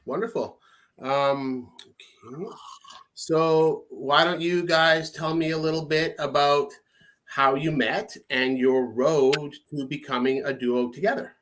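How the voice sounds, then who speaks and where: A man's voice: fairly high pitch, steady tone, takes it slowly, a bit bright, a little hiss or hum. A man hosting a music podcast in a casual, friendly way, rambling a bit with many fillers. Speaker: podcast host. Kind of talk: casual interview chat.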